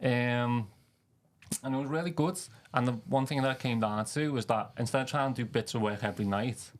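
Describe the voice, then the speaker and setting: A man's voice: medium pitch, fairly quick. A man hosting an informal podcast conversation, talking in an earnest, supportive way. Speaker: podcast host.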